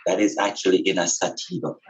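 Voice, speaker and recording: A man giving an informal, explanatory spiritual talk in a deliberate, emphatic way, repeating phrases for stress. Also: low voice, little pitch movement, a little mellow, lo-fi sound. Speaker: podcast speaker and spiritual teacher.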